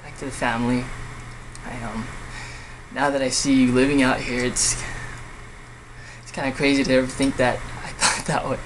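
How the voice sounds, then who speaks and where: A man acting a hurt younger brother in an emotional dramatic monologue, speaking in a halting, emotionally raw way. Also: mid-range voice, little pitch movement, noisy background, natural voice. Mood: wistful, tender. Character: hurt younger brother pleading with self-centered older brother.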